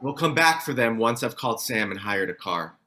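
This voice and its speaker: A man's voice: mid-range voice, lively intonation, brisk, sharp tone, a little hiss or hum. A man at a table read playing a practical, worried man stranded after a crash. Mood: uneasy, practical. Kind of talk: table read of a TV pilot script.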